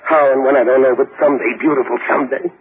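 An actor playing a desperate accused husband bent on revenge, intense and urgent with rough street talk, in 1940s radio drama style. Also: male voice, mid-range voice, steady tone, quick pace, close to an everyday voice. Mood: bitter, insistent. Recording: tinny, noisy 1940s radio recording.

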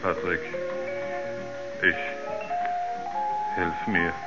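An actor playing a tormented, doomed astronaut, speaking in halting, anguished pleas in a 1970s radio drama. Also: male voice, deep voice, flat delivery, loud music behind the voice. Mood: desperate. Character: tormented doomed male astronaut confessing dark horror. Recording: muffled old radio play, faint noise.

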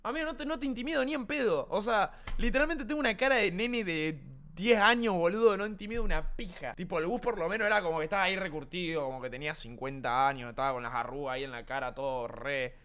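A man's voice: sits high, quick pace, few pauses, fairly bright tone. A young man from Argentina with an Argentine accent, streaming from his room and joking around, brash and swearing a lot. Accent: Argentine Spanish. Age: young adult. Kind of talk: casual livestream chat. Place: home streaming room with green screen.

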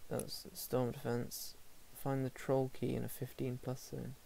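Brief: English male game streamer, mid-pitched, gravelly, mutters through menus, slight background hiss. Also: monotone, unhurried, warm tone. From England. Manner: mumbling to himself, hesitant, fillers. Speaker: gaming streamer. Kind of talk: casual gameplay commentary.

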